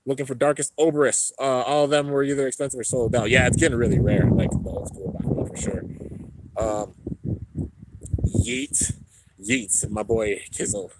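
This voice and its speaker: A man's voice: moderate pace, heavy background noise. A young man hosting a casual livestream and reading viewer comments, laid-back and rambling, a little congested. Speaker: YouTube host reading live chat. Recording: low-end home stream setup.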